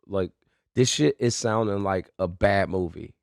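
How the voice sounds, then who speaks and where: A man with a noticeable fry in his voice, debating casually in slangy phrases. He sounds skeptical and insistent, and the recording is close and dry. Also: sits low, a little slow, no echo. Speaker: podcast panelist.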